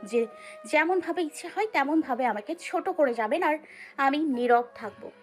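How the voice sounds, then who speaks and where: A woman playing a frightened but defiant young bride-to-be in a romantic audio story, pleading in a tearful, halting manner. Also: sits high, expressive, soft background music. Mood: hurt, defiant.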